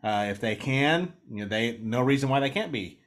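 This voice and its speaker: A man with a mid-pitched, everyday voice co-hosts a sports podcast. He talks in a loose, rambling way, restarting his sentences often. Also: lively intonation, moderate pace. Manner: rambling, many restarts and fillers. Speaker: podcast co-host.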